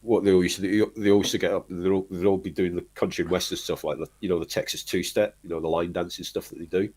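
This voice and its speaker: A middle-aged man from Manchester, telling long rambling stories from his life in a relaxed chat, with lots of restarts. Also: sits low, quick pace, breathy edge. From Manchester, England. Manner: rambling storyteller, restarts often, chuckles. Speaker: guest, band singer. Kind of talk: casual interview chat.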